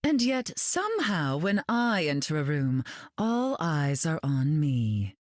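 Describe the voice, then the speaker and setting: A vain, icy telepath queen who drawls with smug superiority. Her low voice rises and falls expressively, captured in a dry, close recording. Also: very animated, slow, dry recording, exaggerated voice. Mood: smug, haughty.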